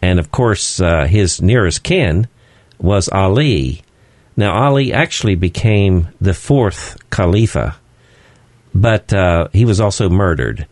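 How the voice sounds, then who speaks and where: Deep-voiced man explaining slowly on radio. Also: expressive, a little mellow, no echo. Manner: slow, halting, many "uh" fillers. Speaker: studio guest. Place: radio studio.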